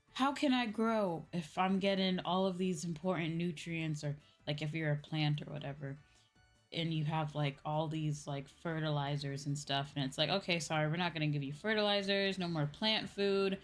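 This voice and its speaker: Low-pitched woman chatting on a livestream. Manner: informal, explanatory, often repeats points and checks with "right?". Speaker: streamer, VTuber host. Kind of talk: casual livestream chat answering viewers. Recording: faint background music. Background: faint music.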